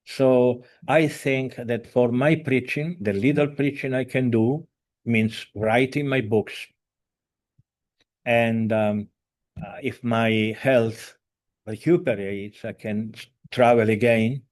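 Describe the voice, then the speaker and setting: A man in his seventies, a spiritual teacher, giving an earnest, halting talk over a video call, with many fillers, restarts and non-native grammar. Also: medium pitch, fairly even intonation, a little mellow. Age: 70s.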